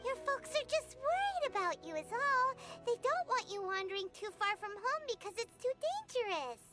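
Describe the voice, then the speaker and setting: A woman voicing a tiny, childlike, excitable fairy companion in an anime video game. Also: normal speed, soft background music, full character voice. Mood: insistent. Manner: refers to self in third person, squeaky and expressive.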